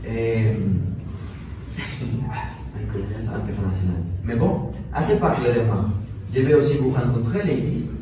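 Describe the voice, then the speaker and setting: Man acting suave young husband, medium pitch, halting courteous stage lines, distant mic, very echoey. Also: close to an everyday voice. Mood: self-assured. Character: suave 26-year-old rich husband and doctoral student. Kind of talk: amateur staged dramatisation in French. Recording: echoey room, far mic, noisy.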